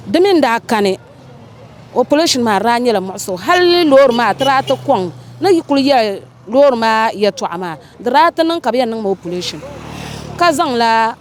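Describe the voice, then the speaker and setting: A woman's voice: fairly high pitch, animated, brisk, a little hiss or hum. A woman from northern Ghana giving a personal account as a local resident being interviewed.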